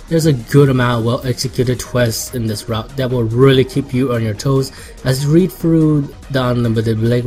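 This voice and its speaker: A man's voice: medium pitch, darkish tone. A man reading a scripted video game review as a voice-over. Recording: voice-over with music behind.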